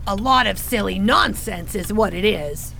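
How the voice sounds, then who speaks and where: A woman's voice: medium pitch, animated, moderate pace, vocal fry, pushed and bright, full character voice. A woman voicing a grumpy, sarcastic talking goat who grumbles and scolds in a radio fairy tale. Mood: grumpy scolding. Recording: noticeable background noise.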